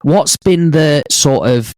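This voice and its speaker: A man hosting a podcast, asking long questions and affirming his guest warmly. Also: a little high, animated, slow pace, no echo. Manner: encouraging, affirming interviewer. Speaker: podcast host. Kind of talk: casual interview chat.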